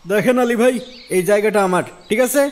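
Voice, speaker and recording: A man's voice: sits high, fast talker, natural voice. A man voicing a stubborn, selfish village landowner in a moral cartoon, arguing dismissively. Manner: argumentative, dismissive. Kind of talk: Islamic moral cartoon dialogue.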